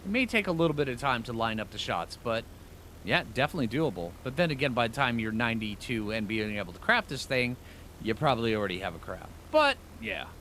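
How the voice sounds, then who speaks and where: Lively, bright-voiced man commenting on gameplay. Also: mid-range voice, fast talker, a little hiss or hum. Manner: informal slangy phrasing, rambling asides. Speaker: gaming channel host. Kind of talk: casual gameplay commentary, thinking aloud.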